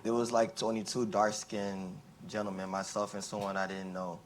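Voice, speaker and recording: A man's voice: lowish voice, little pitch movement, slight background noise. A young man speaking up from the audience at a panel discussion, asking a long, rambling question full of fillers and restarts. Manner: halting, many fillers and restarts. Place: conference room with an audience.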